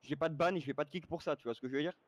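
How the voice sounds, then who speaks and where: A man's voice: on the high side, racing, muffled recording. A man explaining and arguing in an online role-play chat like a moderator, lecturing and often checking that he is understood. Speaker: game server moderator.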